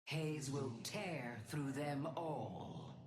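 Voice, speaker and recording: Low, regal, echoing archmother. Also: extremely slow, darkish tone, a little hiss or hum. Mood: commanding. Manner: solemn, stately, declamatory. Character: regal archmother commander bestowing stately praise on followers. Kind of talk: video game voice lines.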